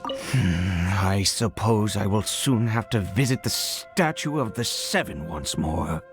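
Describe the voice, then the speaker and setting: An actor playing a wary, weary male researcher in a fantasy video game, polite and halting. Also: on the low side, lively intonation. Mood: wistful, hesitant. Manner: halting, hesitant, polite, chuckling. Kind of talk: video game character acting. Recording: studio voice over game music.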